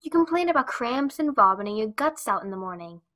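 A woman's voice: a little high, sing-song, brisk, pushed and bright. A woman playing a brash, sarcastic, foul-mouthed young friend in an audio drama. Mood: blunt, scornful. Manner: blunt, sarcastic.

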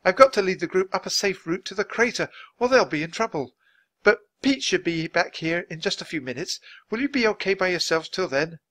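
Man voicing a flustered, high-pitched woman guide. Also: expressive, a little fast, fairly bright tone. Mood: upset, apologetic. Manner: exclaiming, stammers when shocked. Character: flustered grown woman guide, bossy but caring.

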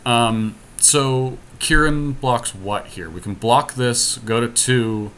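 A man giving casual game commentary with a friend, teasing and thinking his moves out loud. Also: mid-range voice, takes it slowly, slight background noise. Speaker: podcast host playing an online card game.

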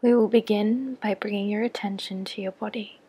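Woman, very breathy near-whisper, medium pitch, guided meditation, gentle measured instructions, long pauses. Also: fairly even intonation. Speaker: clinical psychologist leading a guided meditation. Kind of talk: slow guided body scan meditation.

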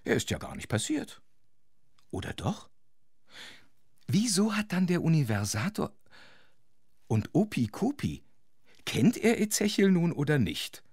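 An actor as a chatty, wry storyteller narrating a children's audio drama. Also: male voice, a little high, huge pitch swings, unhurried, long pauses, darkish tone, husky, no room sound, studio quality, exaggerated voice. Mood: musing, playful.

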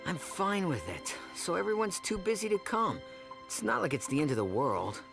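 A man's voice: sits high, huge pitch swings, moderate pace, vocal fry, music in the background, exaggerated voice. A man voicing a stubborn, guarded teenage boy in an anime, casual and dismissive. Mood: sullen, dismissive.

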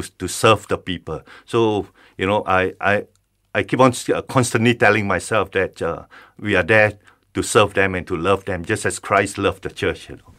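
A man who is a pastor speaks in a studio interview with a low voice. He feels his way through each thought, stopping, repeating words and starting over. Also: fairly bright tone. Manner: halting, many restarts and repeated words. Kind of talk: conversational interview.

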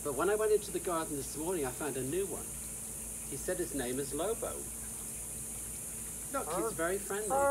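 Older man, higher-set voice, theatrical storybook reading, deliberate delivery, outdoor recording, clear hiss and insect noise. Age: 60s or older. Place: outdoors in a sunny garden. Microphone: camera a few feet away.

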